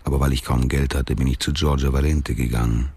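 A man's voice: rumbling low, fairly even intonation, brisk, vocal fry, darkish tone, breathy edge, exaggerated voice. A man acting as a crude, world-weary ex-convict, telling his story in blunt, colloquial radio drama monologue. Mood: crude, confiding. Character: crude, world-weary male ex-convict out after fifteen years.

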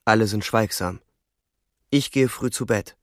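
An actor playing a weary, sensitive young soldier, narrating introspectively in a subdued, terse way in a German radio drama. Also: male voice, on the low side, measured pace, dry recording, studio quality, natural voice. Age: young adult. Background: music.

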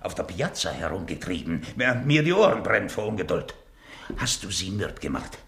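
An actor in a German radio play playing an impatient, scheming rich master, excitable, demanding and theatrical. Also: male voice, low-pitched, a little fast, gravelly, roomy sound, slight background noise, heavily acted.

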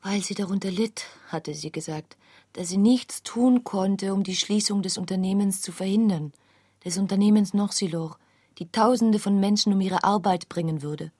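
A close, dry and husky woman's voice an actress acting it out, playing a grave witness in a radio play. She narrates as part of a chorus, with a dark tone. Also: medium pitch, normal speed, dry recording, close to an everyday voice. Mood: grave, hushed.

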